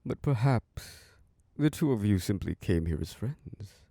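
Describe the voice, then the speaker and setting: A man voicing an ancient vampire lord, deep and gravelly, purring slowly and seductively into a dry, close microphone. His pitch rolls and swoops with lively control, and the tone stays dark and mellow. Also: no room sound, exaggerated voice. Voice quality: low gravelly voice. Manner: slow, seductive, soft chuckles. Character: ancient charming vampire lord, male, possessive. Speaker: castle host. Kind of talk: ASMR audio roleplay.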